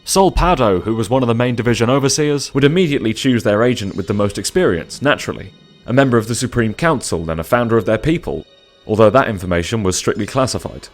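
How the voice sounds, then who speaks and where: A man's voice: mid-range voice, animated, fast talker. Male story narrator over music. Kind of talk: scripted dramatic story narration.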